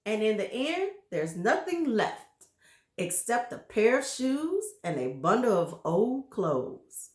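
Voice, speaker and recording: A woman's voice: a little high, big pitch swings, slow, a little mellow, roomy sound. A woman voicing a nasty old husband who taunts his wife with a cruel trick, in a storybook reading. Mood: gloating. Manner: taunting, mock-alarmed, jeering.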